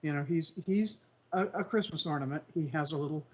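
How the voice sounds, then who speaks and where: Congested male co-host, higher voice, nasal and croaky, meandering delivery. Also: a little fast. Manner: rambling, many fillers and restarts. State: congested, recovering from flu. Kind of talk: casual news chat show.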